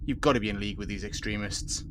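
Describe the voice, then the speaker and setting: A man's voice: fairly low pitch, fairly even intonation, fast talker, background noise, lightly acted. An actor playing an older male research leader, accusing and indignant, in a sci-fi audio drama. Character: angry, defensive older male principal of research crew.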